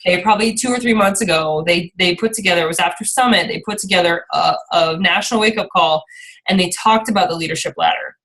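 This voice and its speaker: A woman's voice: fairly low pitch, fast, a bit bright, poor audio. Encouraging woman coaching her team. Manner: encouraging, rambling, often checks in with "okay?". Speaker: team leader and coach hosting a call. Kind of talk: informal motivational team training call.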